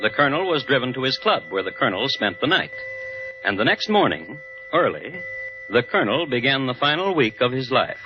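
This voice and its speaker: An actor playing a dry, theatrical host of true-crime tales in measured 1950s radio drama narration. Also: male voice, medium pitch, very animated, loud music behind the voice, close to an everyday voice. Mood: wry, measured. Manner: measured, wry storytelling. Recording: dull vintage 1950s radio broadcast. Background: musical score.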